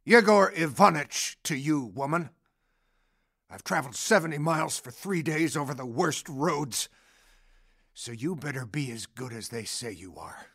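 Creaky, cantankerous older man. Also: fairly high pitch, animated, measured pace, a bit bright, heavily acted. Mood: demanding. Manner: blustering, rude. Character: irascible, demanding older male patient in pain. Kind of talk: audio drama acting.

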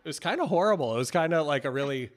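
A man in his forties with an American accent and a slightly high voice talks casually on a podcast. He tells stories in an animated way, playing out the dialogue as he goes. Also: racing. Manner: animated storyteller acting out quoted exchanges. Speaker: podcast host and film director. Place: indoor office, on a video call. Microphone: close boom-arm podcast mic.

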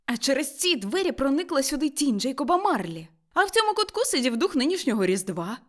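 A woman voicing an elderly male miser, giddily overjoyed and reborn, exclaiming and repeating himself excitedly in a storybook reading. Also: sits high, quick pace, voice pushed up. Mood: giddy joy. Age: older.

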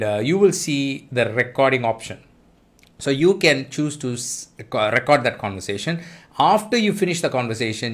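A middle-aged man hosting a weekly tech news show for church leaders, explaining each item steadily with frequent uh fillers. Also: medium pitch. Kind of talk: news roundup to camera.

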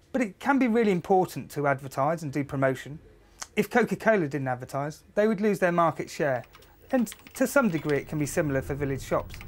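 A man explaining as a retail adviser in an interview. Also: a little high. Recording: faint ambient noise.

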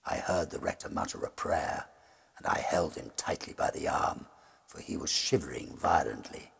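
A middle-aged man with a husky, gravelly voice narrating a gothic ghost story in a measured, suspenseful, theatrical way. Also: extremely low pitch, expressive, a bit bright.

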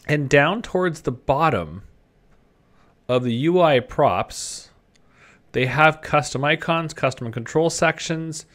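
A man presenting an informal screen-share coding tutorial in a conversational way, thinking aloud as he demonstrates. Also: mid-range voice. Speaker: presenter of a web development tutorial, designer.

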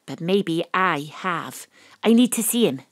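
A woman's voice: fairly low pitch, expressive, a little slow, no room sound, clean studio sound. A woman playing a determined, wry Welsh private detective, acting and narrating in a noir audio drama. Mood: brisk, determined.

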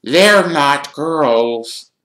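A melodramatic ghost girl from a storybook, pitched very high. The words drag out in tearful, self-pitying wails, with a roomy echo around them. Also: expressive, a bit bright. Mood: suspicious, accusing. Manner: tearful, drawn-out wailing.

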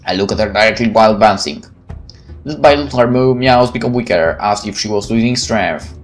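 A man's voice: fairly low pitch, a little fast, soft background music. A man playing a visual novel, reading it aloud and adding casual, playful asides. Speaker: gameplay streamer.

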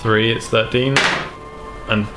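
A man's voice: mid-range voice, level tone, takes it slowly, rough recording. A man chatting casually as a player in a tabletop role-playing game. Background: background music.

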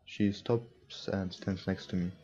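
A man's voice: low voice, steady tone, slow pace, dark tone. A young man who is a non-native English speaker, reading game text aloud and commenting casually as a let's player. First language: not English. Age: young adult. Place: small room indoors at a computer. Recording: muffled, with game music. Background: visual novel background music.

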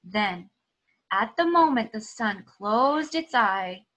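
A woman in her thirties, a teacher, reads aloud to children in an expressive, deliberate storybook style, recorded at home on a nearby device. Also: a little high. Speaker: teacher reading aloud to pupils. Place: small room at home.

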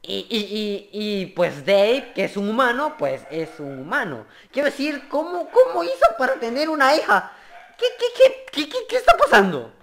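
A man's voice: extremely high pitch, huge pitch swings, normal speed, a bit bright. A young adult man giving casual reaction commentary, often repeating words in an incredulous way, recorded on a close mic. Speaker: reaction video host. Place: small bedroom indoors. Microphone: close studio mic on boom arm. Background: cartoon audio and music playing.

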